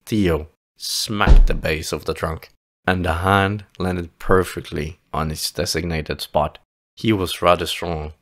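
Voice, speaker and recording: A man's voice: deep voice, fairly bright tone. A man narrating a scripted fantasy audio play as a dramatic storyteller. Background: music.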